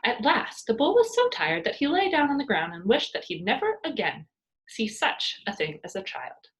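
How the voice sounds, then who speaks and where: A woman reading a children's book aloud as a storyteller, with expressive narration for young pupils. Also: fairly high pitch, moderate pace.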